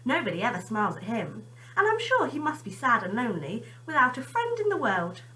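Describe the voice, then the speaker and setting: A kind storybook mother, voiced by a woman reader, full of reassurance. The delivery is bright and very lively in pitch, encouraging throughout. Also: fairly quick, distant mic, heavily acted, rough recording. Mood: gently encouraging.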